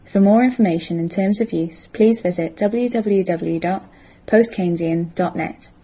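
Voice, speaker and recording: A woman with a husky, mellow voice reads a brief recorded announcement. She spells out letters slowly and carefully, like an announcer. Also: lowish voice. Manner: slow, clearly spelled-out announcer reading.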